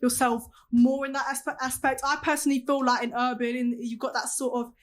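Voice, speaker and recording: A teenage woman, an energetic, chatty singer talking casually as an interview guest. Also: high voice, fairly quick. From West London. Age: teens.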